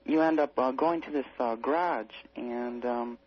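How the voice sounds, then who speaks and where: A man phoning in to a radio show to ask a question, speaking carefully and haltingly. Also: mid-range voice. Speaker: radio phone-in caller. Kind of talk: asking a question on a call-in show. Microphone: telephone. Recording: muffled lo-fi phone call on radio.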